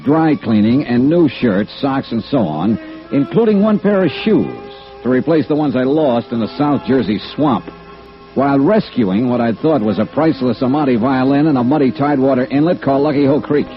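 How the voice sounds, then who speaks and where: On a muffled vintage radio recording with faint music, a man acts a hard-boiled American insurance investigator. His delivery is clipped and wry, swinging widely in pitch like classic fifties detective drama. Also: lively intonation, normal speed, natural voice. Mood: wry, businesslike. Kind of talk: 1950s radio detective drama acting and narration.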